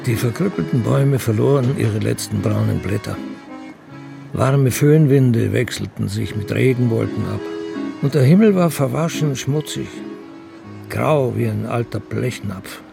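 Creaky-voiced male radio drama narrator. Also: mid-range voice, a little slow, over music.

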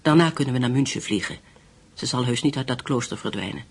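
A man's voice: medium pitch, animated, quick pace, background noise, lightly acted. An actor playing an earnest, courteous man who explains what he knows, intent and polite, in a radio drama. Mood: intent, urging. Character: earnest, courteous male informant helping an investigation. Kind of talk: Dutch radio play acting.

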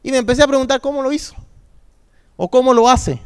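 High-voiced youth pastor preaching. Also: male voice, fast talker, clear, resonant tone. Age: 30s. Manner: preaching with call-and-response, emphatic repetition. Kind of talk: sermon.

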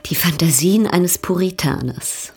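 An actress playing a worldly, sardonic baroness in a German radio drama, with a husky voice and a dryly mocking drawl. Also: female voice, lowish voice, unhurried, a little mellow, full character voice. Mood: mocking, amused. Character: worldly, sardonic baroness, married lady of poise. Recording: slightly distant, music behind.

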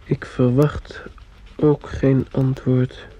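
Troubled young male student, radio drama, mellow slightly husky voice, dull old recording. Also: medium pitch, level tone, drawn-out words. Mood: cold, measured.

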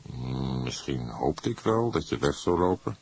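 Man as a slow, deep-voiced, probing detective. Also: big pitch swings, darkish tone, husky, no echo, full character voice. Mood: musing. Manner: measured, deliberate questioning. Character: calm, probing older male police detective. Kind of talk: acted audiobook dialogue.

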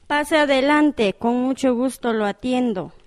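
A woman with a Guatemalan accent acting a polite, matter-of-fact doctor in an amateur radio drama, speaking formally and deliberately. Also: sits high. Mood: polite, brisk. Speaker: actor in community radio drama.

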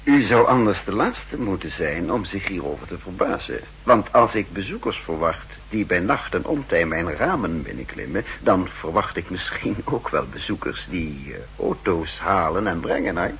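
An actor playing a shrewd, calm spymaster in a 1960s radio play, speaking in a measured, deliberate way. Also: male voice, low-pitched, full character voice. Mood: calm, sly. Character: shrewd, calm male spy posing as counterintelligence chief. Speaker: lead character and narrator. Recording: hissy, humming 1960s radio recording.

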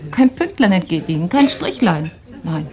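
An actress playing an anguished young refugee wife in a radio play, speaking haltingly and intensely, at times breathy. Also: female voice, on the low side, very animated, darkish tone. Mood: agitated, insistent. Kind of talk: dramatic radio play acting.

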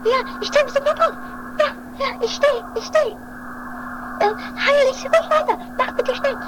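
A woman's voice: very high-pitched, normal speed, noisy background. A woman in an amateur radio play voicing a distressed, pleading climber, plaintive and repeating phrases. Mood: frightened, pleading. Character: distressed, pleading climber, emotional and easily exhausted. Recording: homemade radio play under loud music.

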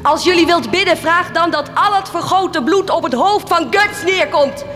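A woman's voice: very high voice, moderate pace, a bit bright, faint music behind. A grave, defiant village woman. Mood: bitter. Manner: solemn, declamatory, intense. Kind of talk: stage-like radio drama acting.

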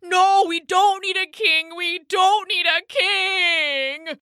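A woman's voice: mid-range voice, expressive, slow, pushed and bright, no room sound, studio quality. A woman voicing a grumpy, bulky old male bullfrog in a storybook, with a blustering, pleading manner. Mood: terrified, pleading.

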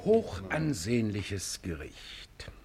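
An actor playing a pompous, sanctimonious defence advocate in a radio play, orating floridly in with theatrical hushes and swells. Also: male voice, lowish voice, wildly swooping pitch, drawn-out words, heavily acted. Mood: solemn, horrified. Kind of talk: florid courtroom oratory in a German radio play.

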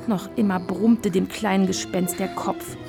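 A woman narrating a scripted children's story in a measured, clearly articulated storytelling manner. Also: mid-range voice, fairly even intonation, over music.

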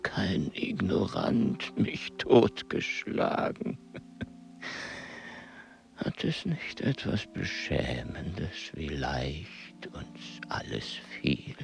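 An actor in a German radio play playing a wry, frail old scholar near death, with a breathy, gravelly whisper and a sardonic manner. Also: male voice, very deep voice, big pitch swings, very slow, full character voice.

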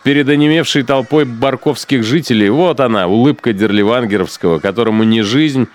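An actor playing a cold-blooded Nazi punitive commander in a radio play, musing in a sardonic, deliberate, contemptuous way. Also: male voice, medium pitch, close to an everyday voice. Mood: amused scorn. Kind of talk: dramatized inner monologue in radio play.

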